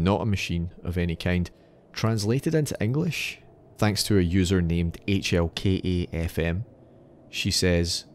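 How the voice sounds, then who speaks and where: A man's voice: low voice, darkish tone, a little hiss or hum. A man hosting a podcast, narrating in an explanatory, slightly wry way that moves between scripted and casual. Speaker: podcast host.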